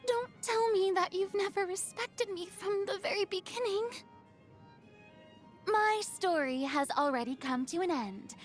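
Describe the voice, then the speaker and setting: Game role: haughty young female diva, high register, unhurried delivery, grand theatrical airs and dramatic self-importance. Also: fairly bright tone, exaggerated voice. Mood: flustered, then playful. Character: theatrical, vain, dramatic young female celebrity performer, secretly lonely. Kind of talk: video game character voice lines.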